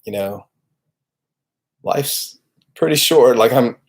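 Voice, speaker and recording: A man in his late thirties giving an informal, reflective motivational monologue, talking earnestly and searching for his words as he goes. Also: mid-range voice. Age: late 30s. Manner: halting, searching, conversational, earnest. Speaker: motivational video host.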